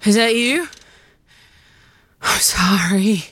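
Woman as a very slow, pleading pet owner. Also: mid-range voice, lively intonation, fairly bright tone, full character voice. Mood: remorseful, pleading. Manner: halting, pleading. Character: remorseful pet owner calling for her lost cat.

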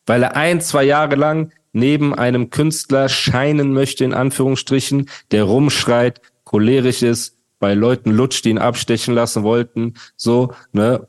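A man's voice: medium pitch, darkish tone. A male podcast host ranting and mocking in casual, slangy chat.

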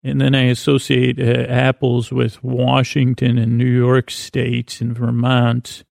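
Creaky-voiced man rambling at bedtime. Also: medium pitch, monotone, a little mellow, no echo, studio quality. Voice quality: gravelly, creaky. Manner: meandering, halting, repeats and trails off, whimsical. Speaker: host of a bedtime sleep podcast. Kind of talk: rambling improvised bedtime monologue.

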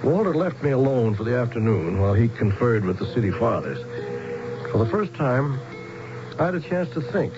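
An actor playing a skeptical, down-to-earth outsider with a plain-spoken, wry manner in a radio drama. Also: male voice, mid-range voice, very animated, normal speed, close to an everyday voice. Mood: worried, reflective. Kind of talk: radio drama acting with first-person narration. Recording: muffled radio broadcast with music.

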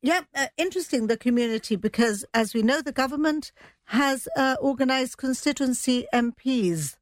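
A female news editor speaks in a slightly high voice, recorded close and dry. She reports conversationally, hesitating and thinking aloud between points. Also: animated, normal speed, dry recording.

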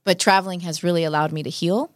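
A woman's voice: on the low side, steady tone, fast talker, dry recording, clean studio sound. A middle-aged Mexican-American woman, Spanish her first language, talking earnestly in a casual podcast conversation, often repeating phrases. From United States. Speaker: podcast guest, radio host.

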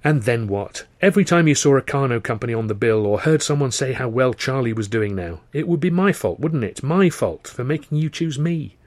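A man voicing a hurt, guarded young woman, soft and reproachful, in an audiobook. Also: mid-range voice, lively intonation, a little fast, hardly any pauses, no room sound, voice pushed up, close to an everyday voice. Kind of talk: audiobook character voice.

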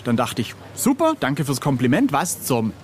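Outdoors at a street café, with faint ambient noise, a man in his late forties talks in a middle-pitched voice. He is from southern Germany and tells stories casually as an interview guest, slipping into quoted dialogue as he goes. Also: wildly swooping pitch, normal speed. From southern Germany (Swabia). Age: late 40s. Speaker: interview guest, freelance illustrator and cover artist. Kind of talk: casual anecdotal chat. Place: outside at a street café in a city.

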